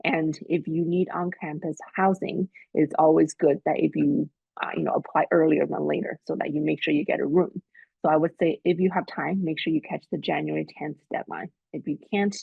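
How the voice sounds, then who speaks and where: A woman hosts an admissions webinar and walks through deadlines and rules in a practical, advising way, full of fillers. Her voice is husky with a gravelly edge. The sound is dry and muffled, like a video call mic. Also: low voice, dry recording. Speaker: admissions staff host. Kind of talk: webinar presentation. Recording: muffled, dull webinar audio.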